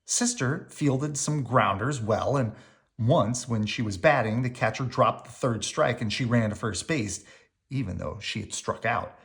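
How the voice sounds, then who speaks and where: A reader narrating a children's picture book aloud in an expressive storytelling style. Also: medium pitch, a little slow, vocal fry.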